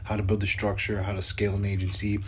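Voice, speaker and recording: Young dude cohosting a podcast, kinda low voice, upbeat and rambly. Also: male voice, completely flat delivery, fast. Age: 20s. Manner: upbeat, loose and chatty with fillers. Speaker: podcast co-host. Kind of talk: casual podcast chat.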